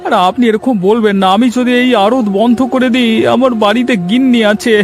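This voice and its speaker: A man's voice: very high voice, fairly even intonation, fairly quick. A man playing an anxious, wronged village fish merchant in a cartoon, with a pleading, plaintive manner. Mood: indignant, pleading. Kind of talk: Bengali cartoon story acting.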